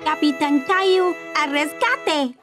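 Eager, high-pitched little boy. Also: slow pace, music in the background. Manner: excitable, childlike. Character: eager imaginative four-year-old boy. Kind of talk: children's cartoon dub.

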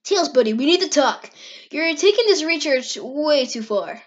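Girl doing a high voiced cocky worried hedgehog dude for a comic dub, kinda lo-fi sound. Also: lively intonation, normal speed. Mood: urging. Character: brash young male cartoon hedgehog, worried best friend. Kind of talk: amateur comic dub acting.

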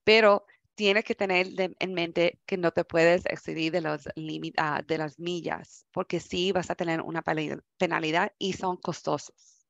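A woman's voice: on the low side, fairly even intonation, a little slow, vocal fry, no echo. Woman presenting a workshop over a dull call. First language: English. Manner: conversational, frequent restarts, mixes in English words.

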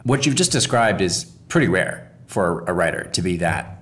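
A man's voice: sits low, sing-song, normal speed, fairly bright tone. A man co-hosting a podcast in a reflective, conversational style. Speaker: podcast co-host.

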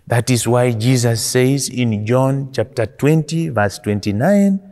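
A man in his forties, a priest giving a homily in slow, deliberate preaching with rising and falling emphasis, recorded indoors in a small church. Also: medium pitch, warm tone, in a large hall.

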